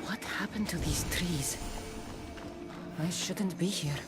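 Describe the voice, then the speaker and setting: A woman's voice: fairly low pitch, slow. An actress playing a tough, wry female mercenary hero of ancient Greece in a video game, with a blunt, resolute delivery. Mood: wary. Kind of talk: dramatic video game cutscene acting. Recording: loud background music.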